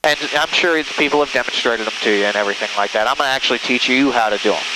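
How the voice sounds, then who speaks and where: A man's voice: mid-range voice, racing. A man giving a hands-on flying lesson over a headset, coaching step by step, reassuring and often checking that the student follows. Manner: reassuring, repeatedly checks understanding with short tag questions. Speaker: flight instructor. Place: inside a small airplane in flight. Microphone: aviation headset. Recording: noisy small airplane cockpit, engine and radio. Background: aircraft engine drone, radio.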